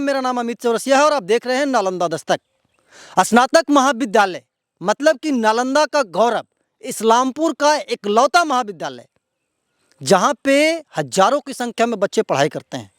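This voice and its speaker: Very high-pitched Bihari man, indignant. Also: a bit bright, no room sound, studio quality. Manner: indignant, emphatic, repetitive. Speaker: local news presenter. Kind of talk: outspoken news commentary to viewers.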